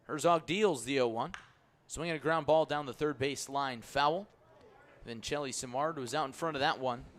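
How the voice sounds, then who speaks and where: A man working as a radio play-by-play announcer, calling live baseball in crisp, clipped phrases. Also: medium pitch, normal speed.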